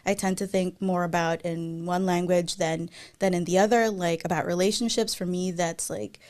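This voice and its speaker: A woman's voice: fairly low pitch, steady tone, normal speed, darkish tone. A woman in her thirties, a native Tagalog speaker, fields audience questions from an indoor room over a webcam. She keeps it casual and chatty, with frequent fillers and restarts. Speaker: guest speaker. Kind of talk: live Q&A answers on a video call. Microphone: webcam video call.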